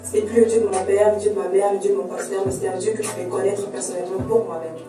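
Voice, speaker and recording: A woman's voice: mid-range voice, steady tone, music in the background. A twenty-year-old young woman sharing her personal faith story in an earnest way. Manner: brisk, earnest. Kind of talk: recorded youth testimony. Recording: very echoey room, from far off.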